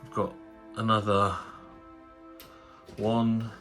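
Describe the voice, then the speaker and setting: Low-voiced man, nasal from a cold, rambling casual hobby-channel host, light music bed. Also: little pitch movement, drawn-out words. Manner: chatty, rambling, frequent fillers and restarts. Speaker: tabletop wargaming channel host. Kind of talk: casual unboxing commentary.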